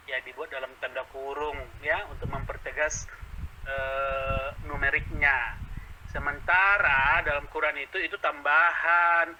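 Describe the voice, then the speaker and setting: A man's voice: on the high side, sharp tone, slight background noise, rough recording. A man speaking as a debater in an informal religious debate, self-assured and didactic, often checking that listeners follow.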